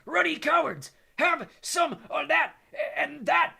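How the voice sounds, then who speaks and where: Narrator doing a giant angry bloke from a storybook, bellowing, pushed up loud and high. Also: lively intonation, slow pace, gravelly, heavily acted. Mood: enraged. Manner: roaring, bellowing. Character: huge, fiery-tempered man, roaring defiantly in battle.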